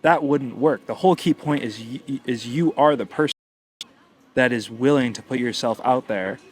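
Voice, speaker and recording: A man co-hosting a casual podcast, mid-pitched and conversational. He thinks aloud in long, looping sentences and teases his partner now and then. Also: moderate pace. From United States. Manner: teasing, thoughtful, speaks in long winding sentences. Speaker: podcast co-host.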